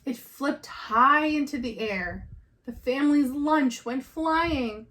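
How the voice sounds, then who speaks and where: Woman, teacher doing a children's read-aloud. Higher voice, very slow, deliberate storytelling delivery. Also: a bit bright. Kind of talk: expressive picture-book read-aloud with questions to listeners.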